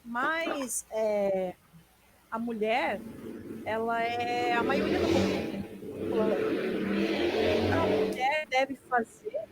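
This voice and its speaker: A woman's voice: fairly high pitch, wildly swooping pitch, drawn-out words, pauses often, heavy background noise. A woman moderating an online panel, reading out questions politely and a little hesitantly.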